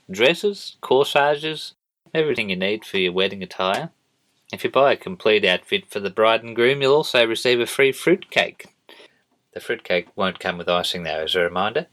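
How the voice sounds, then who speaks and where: Man as low-voiced small-town radio announcer. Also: animated, normal speed, fairly bright tone. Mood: matter-of-fact. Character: matter-of-fact small-town community announcer. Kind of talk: local radio advert parody.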